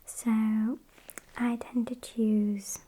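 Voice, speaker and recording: A British woman playing a sassy, morbid gothic vampire kidnapper in a whispered ASMR roleplay, soft, unhurried and deadpan. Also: fairly high pitch, exaggerated voice, rough recording. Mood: calmly menacing. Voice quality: breathy whisper.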